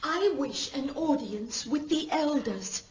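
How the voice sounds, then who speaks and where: High-voiced defiant young noblewoman. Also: expressive, slow pace, slight background noise. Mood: urgent. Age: young adult. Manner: formal, impassioned. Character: grieving, defiant young noblewoman, urgent and earnest. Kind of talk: audio drama acting. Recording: long hall reverb.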